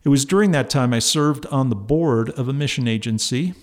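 A man in his fifties from northern New Jersey, a pastor hosting his church's podcast, talking in a relaxed way with many ums and uhs. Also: medium pitch, fairly quick. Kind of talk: casual church podcast monologue.

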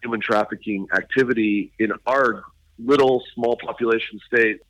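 Middle-aged man, state attorney general, bright dry voice, halting radio guest. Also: mid-range voice, steady tone, no room sound, poor audio. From Hawaii and Southern California. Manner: halting, many fillers and restarts.

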